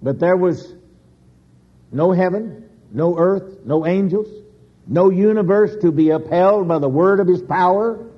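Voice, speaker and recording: Slow, husky-voiced male preacher. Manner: slow, emphatic preaching with dramatic pauses and swings in pitch. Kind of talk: sermon. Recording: dull lo-fi recording.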